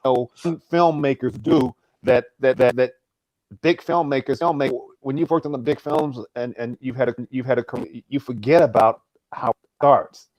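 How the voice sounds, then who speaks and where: A man's voice: mid-range voice, steady tone, moderate pace, a little mellow, dry recording, rough recording. A man giving unscripted remarks as an actor on a live Q&A panel, effusive and often stammering over repeated starts.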